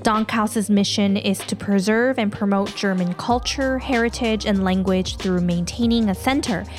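A woman's voice: medium pitch, normal speed, music in the background. Woman host reading a kids' show script. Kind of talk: scripted narration for kids.

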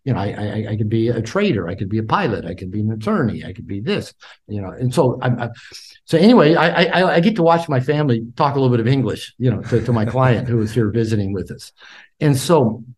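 An older man in his sixties speaks as a podcast guest, with a lively, swooping pitch. He often repeats his first words before a sentence gets going. His talk is casual and warmly animated. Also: fast talker. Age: 60s. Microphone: call.

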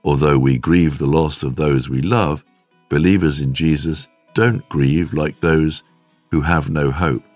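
Very deep-voiced man reading a devotional. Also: fairly even intonation, a little slow, a little mellow, dry recording, soft background music. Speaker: devotional reader. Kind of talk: scripted devotional reading with scripture and prayer.

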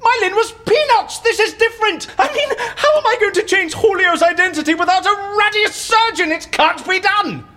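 An actor playing an exasperated, sardonic fixer of faked deaths in an audio drama, with a theatrical manner. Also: male voice, extremely high pitch, normal speed, few pauses, sharp tone. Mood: outraged. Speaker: fixer who stages fake deaths. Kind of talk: audio fiction acting.

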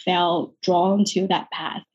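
A woman from Taiwan, a psychologist talking about her career in a relaxed interview, hesitant with many restarts and quick laughs. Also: fairly low pitch, breathy edge, muffled recording. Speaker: guest psychologist. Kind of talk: casual interview chat.

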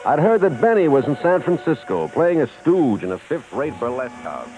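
A man's voice: a little high, sing-song, brisk, warm tone, rough recording. A man playing a wry, blunt, loyal show-business friend in a 1950s American radio drama, with an American accent. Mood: explaining, calm. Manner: wisecracking, blunt. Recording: old radio broadcast recording, music behind.

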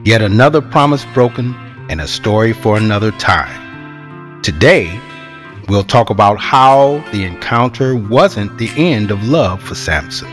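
A man giving measured, rhetorical narration for a scripted audio drama, as a reflective storyteller. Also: fairly low pitch, animated, fairly bright tone, soft background music.

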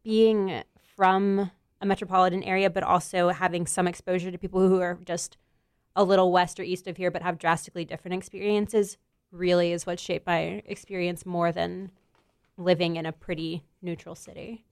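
A woman in relaxed discussion explains her views carefully, hesitating and filling pauses with ums. Her voice sits a little low and sounds clear and resonant. The recording is close and dry. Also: no room sound. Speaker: former youth camp counselor. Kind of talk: casual discussion.

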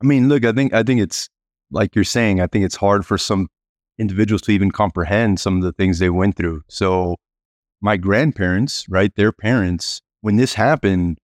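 A man's voice: on the low side, moderate pace, no room sound, studio quality. A man from the United States talking as a podcast guest, easygoing and filler-heavy. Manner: easygoing, fillers like "you know". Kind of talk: casual interview talk.

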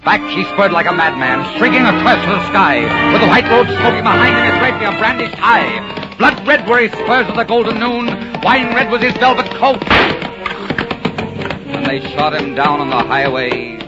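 A man's voice: high-pitched, expressive. A man performing as the poetic narrator of a tragic romantic ballad, reciting solemnly and theatrically in 1940s radio style. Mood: fervent, ringing. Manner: solemn, theatrical, drawn-out phrasing. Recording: muffled 1940s radio recording, loud music.

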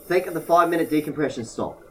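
Sarcastic, exasperated diver played by a male actor, rapid delivery, audio drama, anxious to escape. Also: a little high, sharp tone, a little hiss or hum. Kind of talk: amateur audio drama acting.

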